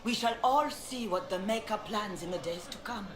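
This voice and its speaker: A woman playing a proud, weary senior cleric in a fantasy video game, speaking formally with measured reproach. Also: medium pitch, a crowd in the background, lightly acted. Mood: resigned, doubting. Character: stern, weary high-ranking cleric woman, proud and doubting. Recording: echoey, noisy outdoor ambience.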